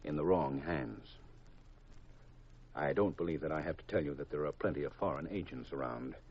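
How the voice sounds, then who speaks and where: An actor playing a grave, secretive government intelligence chief in a 1950s radio drama, deliberate and confidential on a vintage recording. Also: male voice, very deep voice, muffled recording. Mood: grave, confiding.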